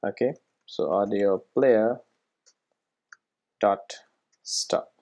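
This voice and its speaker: A software tutorial presenter explaining things step by step in an informal way, often checking in with the listener. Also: deep voice, drawn-out words, creaky, lo-fi sound.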